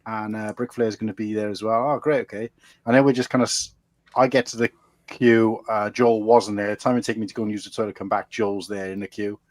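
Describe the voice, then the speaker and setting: A man's voice: lowish voice, fairly even intonation, fairly quick, dry recording. Chatty Welsh man hosting, dry sound. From Wales. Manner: chatty, rambling, calls people mate. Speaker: podcast host. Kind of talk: casual chat.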